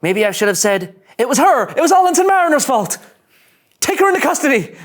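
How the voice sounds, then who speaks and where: A man's voice: very high voice, big pitch swings, brisk. A man playing an anxious, earnest young starship ensign in a sci-fi comedy, nervous and overdramatic. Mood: frantic. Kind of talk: animated sci-fi comedy table read.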